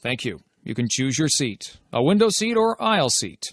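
A man's voice: medium pitch, sing-song, normal speed, dry recording, studio quality. A man playing polite airport parts in a scripted lesson dialogue. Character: polite clerk or passenger at an airport.

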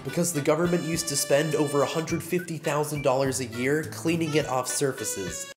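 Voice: medium pitch, normal speed, over music. A presenter reading a light, wry fun facts segment as scripted voice-over.

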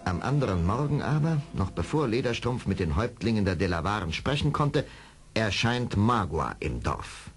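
A man narrating a radio play as a dramatic storyteller, with a husky voice. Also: fairly low pitch, sing-song, normal speed, soft background music.

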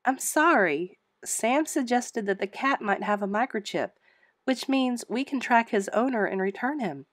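A woman voicing a flustered but firm middle-aged female innkeeper in a storybook, brisk and emphatic. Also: fairly high pitch, expressive, a bit bright, no echo, studio quality, voice pushed up. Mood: pleading, firm.

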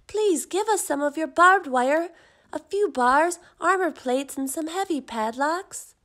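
Three tiny storybook wolves, voiced by a woman, scared yet stubborn. Their voices are squeaky and high, and they shout their refusals loudly and emphatically. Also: animated, a little slow. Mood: pleading. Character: three little wolves, small, frightened but defiant.

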